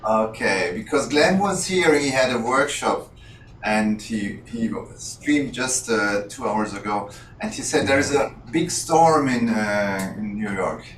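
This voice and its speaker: A man's voice: medium pitch, expressive, measured pace, a bit bright. A man hosting an online panel over a video call, speaking in brief, hesitant phrases. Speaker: panel host. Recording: echoey room, distant mic, faint noise.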